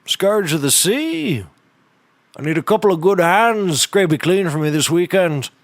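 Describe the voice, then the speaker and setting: An actor playing an eccentric, deadpan office hand in a comedy audio drama, telling things in a folksy, drawn-out way. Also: male voice, high voice, no echo, clean studio sound.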